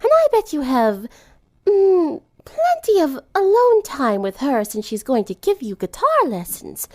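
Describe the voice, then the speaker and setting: A woman voicing an elegant, sly teenage girl in a cartoon story, teasing with refined, drawn-out words. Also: sits high, wildly swooping pitch, voice pushed up, exaggerated voice.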